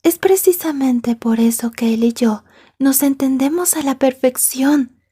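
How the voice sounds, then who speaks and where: A woman playing a possessive, jealous yandere schoolgirl in an ASMR roleplay, soft and breathy, taunting and slipping into whispers. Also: sits high, measured pace. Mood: condescending, smug.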